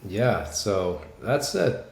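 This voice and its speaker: Casual low-voiced male host, roomy sound. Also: very animated, extremely slow, vocal fry, slight background noise. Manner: casual and friendly, calls the guest "man". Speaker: podcast host. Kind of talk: podcast interview.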